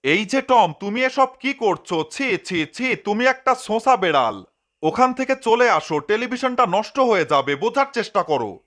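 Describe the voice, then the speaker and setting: A man's voice: high-pitched, sing-song, normal speed, fairly bright tone. A man playing an eccentric, scolding cat owner who declaims in a cartoon dub.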